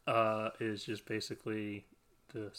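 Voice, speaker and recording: A man's voice: fairly low pitch, monotone, unhurried, a bit bright. A man co-hosting a podcast, chatting casually in a rambling, self-deprecating way and laughing often. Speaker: podcast co-host. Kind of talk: casual chat.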